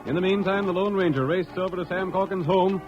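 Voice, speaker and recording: A man's voice: high voice, quick pace, natural voice. A man narrating a western serial as a dramatic old-time radio announcer. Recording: muffled old radio broadcast, music behind.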